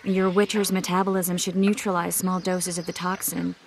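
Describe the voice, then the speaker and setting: A knowing mage and healer from a video game. Her voice is a little low, and she explains things in a measured, forthright way over faint ambient noise. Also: flat delivery, vocal fry. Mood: clinical, matter-of-fact.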